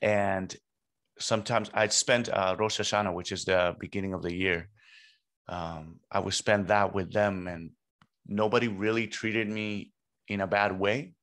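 A man in his thirties with a fairly low voice speaks as a podcast guest. He tells personal stories in a conversational way, recorded up close on a podcast microphone in a small home studio. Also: fairly even intonation, unhurried, fairly bright tone. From Puerto Rico and New York. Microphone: close podcast microphone on boom arm.

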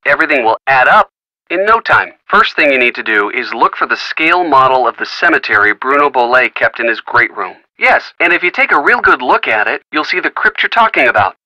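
A man's voice: mid-range voice, sing-song, fairly quick, bright, forceful tone, dry recording, rough recording. An actor playing a friendly man in a video game who gives folksy puzzle hints with wry little asides. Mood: helpful. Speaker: hint-giving game character. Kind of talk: recorded video game dialogue.

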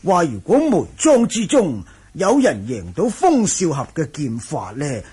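A man's voice: a little high, huge pitch swings, unhurried, dark tone, breathy edge, full character voice. A man acting a polite martial-arts manor lord in a Cantonese radio drama, formal and deferential. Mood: explaining.

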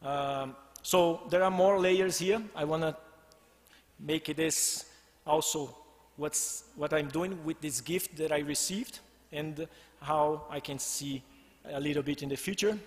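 A man in his forties with a Brazilian accent, giving a tribute talk at a podium and often restarting his phrases. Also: on the high side. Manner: halting, with many restarts and fillers. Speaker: conference speaker. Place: large glass-walled hall, indoors. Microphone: podium microphone. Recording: long hall reverb.

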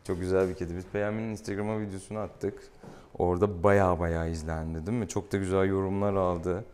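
Low, mellow man, playfully affectionate. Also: flat delivery, fairly quick. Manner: affectionate, teasing, sweet-talking the animal. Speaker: veterinarian presenting a clinic video. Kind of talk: casual playful chat with a pet and viewers. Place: small indoor veterinary exam room. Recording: clear room noise behind.

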